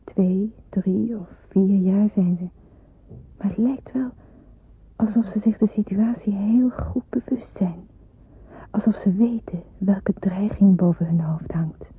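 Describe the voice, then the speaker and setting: An actress playing a gentle young woman who helps with small children in wartime, soft-spoken and reflective, in a radio play. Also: mid-range voice, slow, slight background noise, natural voice. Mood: wistful, reflective. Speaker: narrator and lead character of a radio drama. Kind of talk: first-person radio drama narration and dialogue. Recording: muffled, phone-like old radio recording.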